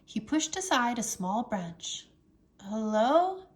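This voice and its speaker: A woman reading a children's storybook aloud as a teacher, with expressive, dramatic narration. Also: mid-range voice, measured pace.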